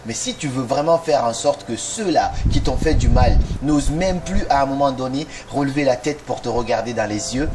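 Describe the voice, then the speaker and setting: A man's voice: medium pitch, moderate pace, hardly any pauses. A man giving a motivational talk straight to the listener, speaking directly and firing off rhetorical questions. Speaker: motivational video host. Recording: noisy recording with clicks.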